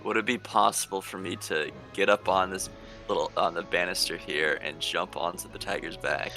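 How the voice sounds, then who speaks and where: Deep, gravelly man at game table. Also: fairly even intonation, normal speed, music in the background. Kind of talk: casual game chat.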